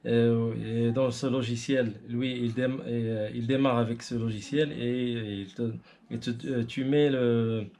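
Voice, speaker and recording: A man casually explaining technical gear, haltingly and groping for words. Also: mid-range voice, steady tone, warm tone. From Morocco. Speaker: amateur radio hobbyist explaining his setup. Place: indoors at his home radio station.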